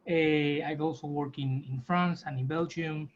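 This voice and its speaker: A man's voice: fairly high pitch, fairly even intonation, measured pace. A man from Spain, a recruitment consultant introducing himself casually over a video call. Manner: casual, loose with fillers. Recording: dull mic with faint noise.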